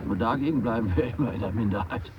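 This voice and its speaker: An actor playing an easygoing, dry-humoured funeral-goer with a matter-of-fact manner in a 1970s German radio drama. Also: male voice, quick pace, natural voice. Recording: dull recording, very loud rumbling noise.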